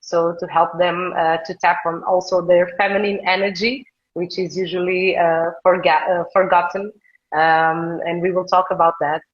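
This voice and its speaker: A woman from Portugal with a Portuguese accent, hosting a live video conversation in English, pausing often to search for words. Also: fairly low pitch, level tone, dull audio. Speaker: host, tantra coach. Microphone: video call.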